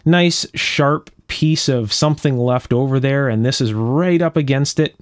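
A man with a medium-pitched voice narrates hands-on repair work in a casual way. He explains each step carefully, like a workshop host walking a viewer through the job. The sound is dry, with very little room in it. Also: measured pace, no echo. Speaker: electronics restorer and video host. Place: indoor electronics workshop at a bench.

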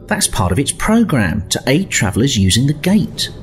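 A man's voice: medium pitch, big pitch swings, normal speed, close to an everyday voice. A man playing an expert starship advisor who explains formally in a sci-fi audio drama. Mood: regretful.

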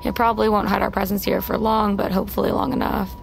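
Gravelly young woman, guarded and wry. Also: medium pitch, level tone, fast talker. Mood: matter-of-fact. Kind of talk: naturalistic audio drama acting. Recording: clearly noisy ambient sound bed.

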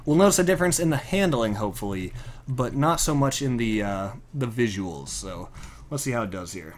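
A man's voice: mid-range voice, animated, fairly quick. A young American man chatting in a friendly, casual way as he commentates his video game livestream. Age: young adult. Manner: chatty, friendly, thanking viewers. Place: indoor room, at a desk.

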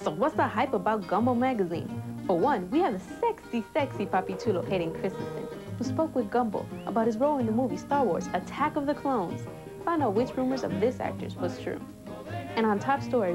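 A woman reading scripted promotional narration as a magazine presenter. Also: medium pitch. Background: music.

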